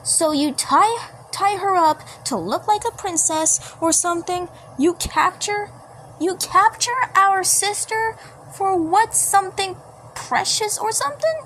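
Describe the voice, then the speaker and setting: A woman's voice: very high-pitched, a little slow, a bit bright, a little hiss or hum, pitched above the performer's own voice, poor audio. A woman voicing a fierce, protective cartoon superhero girl who confronts others with stammering, repeated demands. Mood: indignant.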